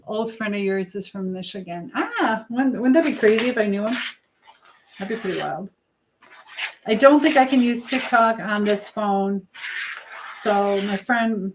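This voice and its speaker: A woman with a fairly high voice hosts a casual livestream from her home kitchen. She rambles in a chatty way, thinking aloud as she works. There is clear background noise with some clicking. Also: poor audio. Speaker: livestream host. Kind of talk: casual live-stream chat while baking.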